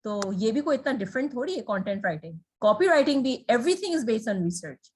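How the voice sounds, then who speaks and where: A woman's voice: fairly high pitch, lively intonation, normal speed, slightly rough. A woman, a copywriter interviewed as a guest, speaking earnestly and encouragingly, often switching into English. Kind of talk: casual Urdu-English interview.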